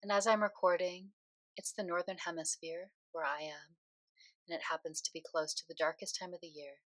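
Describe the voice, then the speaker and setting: A woman leading a guided meditation in a soothing, unhurried way, speaking phrase by phrase with pauses. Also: lowish voice, fairly even intonation, fairly bright tone, no room sound.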